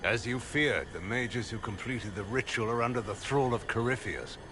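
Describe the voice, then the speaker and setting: Guy as serious formal warrior in a fantasy game, medium pitch, measured, noisy ambience. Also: natural voice. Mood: grave. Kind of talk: video game dialogue. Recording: clear background ambient rumble.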